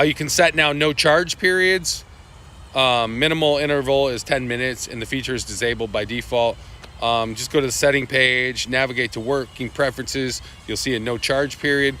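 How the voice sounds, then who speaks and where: Rambling man with a bright, crisp voice. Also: mid-range voice, slight background noise. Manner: loose and rambling, with many fillers, restarts and asides. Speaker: livestream host presenting product updates. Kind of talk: casual live product walkthrough.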